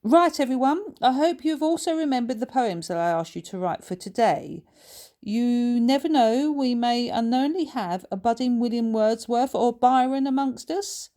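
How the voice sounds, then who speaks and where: A woman's voice: on the high side, animated, normal speed, dark tone, pitched above the performer's own voice, lightly acted. A woman voicing a polite, strict but encouraging female schoolteacher, projecting primly as if to a class, in a children's story. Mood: pleasantly surprised. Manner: projected, prim, addressing a class.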